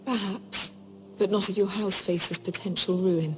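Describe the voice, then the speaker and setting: An actress playing a haughty, menacing fantasy queen who speaks in a measured, condescending, coldly formal way. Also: medium pitch, steady tone, faint music behind, natural voice. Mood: veiled threat. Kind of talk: dramatic fantasy game acting.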